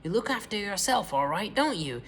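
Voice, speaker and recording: A man voicing an enormous, greedy old grandmother who shouts shrill accusations. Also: brisk, long reverb tail, a little hiss or hum. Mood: accusing.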